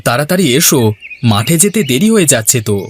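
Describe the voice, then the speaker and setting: A man's voice: fairly high pitch, big pitch swings, fast talker, breathy edge. A man playing a patient, hardworking village farmer, husband and father in a folk-tale cartoon dub. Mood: practical, coaxing. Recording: music behind the voice.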